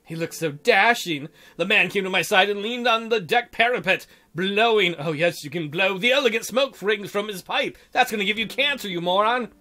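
High-pitched, bright man goofing through a game. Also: normal speed, hardly any pauses, faint music behind. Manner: goofy, irreverent, crude joking. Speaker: gameplay host reading a visual novel aloud. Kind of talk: playthrough narration with joking asides.

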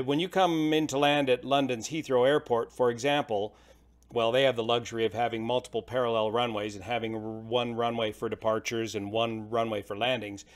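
Plain-spoken middle-aged American man. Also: medium pitch. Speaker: host, aviation commentator. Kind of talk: informal explanatory commentary.

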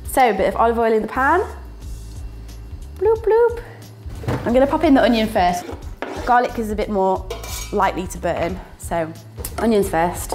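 Young woman, northern English accent, playful chatty cooking talk, kitchen, faint music behind. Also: mid-range voice. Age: young adult. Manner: chatty, playful, laughs often, sound words. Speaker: student cooking presenter. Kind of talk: casual cooking demonstration. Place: small indoor kitchen.

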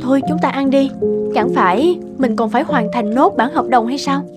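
A woman playing a busy, attentive young wife and mother in an animated drama. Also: sits high, normal speed, over loud music, lightly acted. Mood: gentle, brisk. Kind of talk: dubbed animated drama acting.

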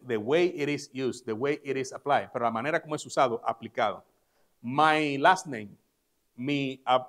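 A male pastor, a native Spanish speaker, giving an emphatic sermon in a church service, saying each line in English and then repeating it in Spanish. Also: mid-range voice. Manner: emphatic, stressing key words. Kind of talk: bilingual sermon.

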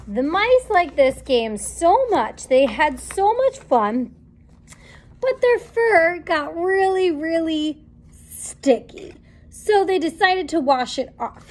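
A woman in her thirties reading a picture book aloud to young children in a sing-song, encouraging, question-asking way, recorded in a small room. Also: high-pitched. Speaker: children's story time reader. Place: small indoor classroom or playroom.

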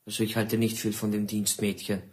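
An actor playing a skeptical, grudging husband in a radio drama. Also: male voice, fairly low pitch, completely flat delivery, fairly quick, darkish tone, natural voice. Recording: roomy, noisy sound with clicks.

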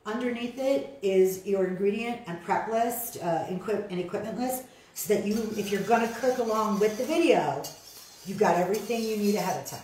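A woman's voice: medium pitch, fairly quick, a little mellow, slight background noise. An older woman hosting a casual cooking demonstration in her home kitchen, chatty and explaining each step as she cooks. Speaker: cooking show host. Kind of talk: casual cooking demo chat. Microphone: far camera mic. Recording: echoey kitchen, mic at a distance.

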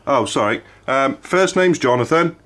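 A man's voice: medium pitch, expressive, moderate pace. A man making a casual, hesitant phone call as a caller. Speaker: prank caller. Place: indoors, kitchen worktop. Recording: loud power drill noise over voice. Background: loud electric drill, clicks.

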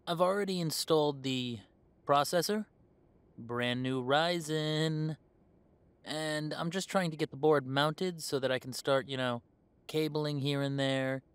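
A man's voice: fairly high pitch, clear, resonant tone, no room sound, natural voice. Man playing an easygoing, chatty young male werewolf, dry sound. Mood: casual, easygoing. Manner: chatty, hesitant, conversational. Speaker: voice actor. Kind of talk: roleplay audio drama, casual one-sided conversation.

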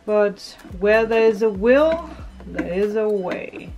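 Female vlogger, relaxed slow delivery, muttering through a home fix-up over background music. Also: medium pitch, gravelly, lo-fi sound. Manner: thinking aloud while fitting drawer knobs. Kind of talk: casual DIY vlog talk. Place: indoors at home.

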